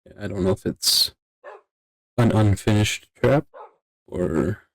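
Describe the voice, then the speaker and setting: A man's voice: fairly low pitch, slow, dry recording, low-quality recording. A man chatting casually as a player in an online game roleplay. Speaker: roleplay gamer.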